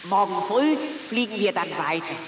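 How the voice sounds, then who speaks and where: A man's voice: sits high, lively intonation, moderate pace, background noise. A man playing a young, boyish elephant in a children's audio drama, earnest, sensible and reassuring. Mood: calm, reassuring. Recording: roomy echo on 1980s cassette drama.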